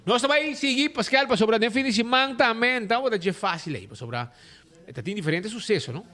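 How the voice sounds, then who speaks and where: A man with an Aruban accent hosts a casual radio show, talking in a lively, rambling way and slipping between languages. His voice sits high for a man. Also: quick pace. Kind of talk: casual radio talk with ads.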